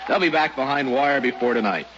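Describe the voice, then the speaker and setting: An actor playing a gruff, contemptuous military officer in an old-time radio drama, brusque and commanding. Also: male voice, mid-range voice, fairly quick, natural voice. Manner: brusque, barking orders. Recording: dull lo-fi radio recording, noisy.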